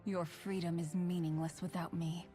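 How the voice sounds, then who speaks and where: An actress playing a stern, righteous warrior of light in a video game, solemn and commanding. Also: female voice, on the low side, level tone, normal speed, mellow, soft background music, lightly acted. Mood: stern, defiant. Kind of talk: scripted video game voice lines.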